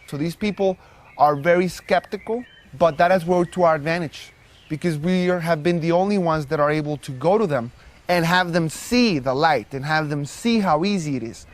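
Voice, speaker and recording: A young man from Guatemala City, a solar startup co-founder, giving an earnest, measured documentary interview. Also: a little high. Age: young adult.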